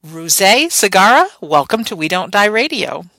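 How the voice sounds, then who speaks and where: A woman's voice: mid-range voice, very animated, moderate pace, fairly bright tone, dry recording. A woman hosting an interview radio show, moving between scripted introductions and conversational questions.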